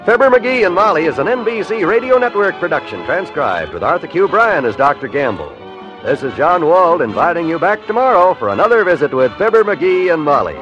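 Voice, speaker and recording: Animated American male radio announcer. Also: fairly high pitch, brisk, over music. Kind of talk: 1950s scripted network radio announcing. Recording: slightly dull vintage radio recording.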